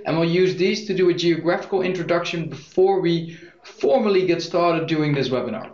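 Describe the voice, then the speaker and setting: A young man with a Dutch accent, presenting a software webinar in an explanatory way with frequent fillers. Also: on the high side, moderate pace. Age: young adult. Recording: echoey muffled lo-fi audio.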